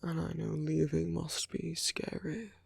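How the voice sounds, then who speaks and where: A villain murmurs at very close range in a dry, intimate audio roleplay performed by a man. The words come slowly, with lingering pauses and plenty of gravelly creak. The tone is sly and teasing, yet tender toward a supposed enemy. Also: a little high, flat delivery, no room sound, full character voice. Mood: tender, earnest. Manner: unhurried, hushed, pausing between phrases. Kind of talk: intimate ASMR roleplay acting.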